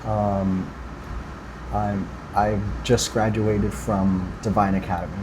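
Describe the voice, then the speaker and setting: A young man being interviewed as a guest, soft-spoken and hesitant, giving short answers. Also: on the low side, level tone, takes it slowly, background noise.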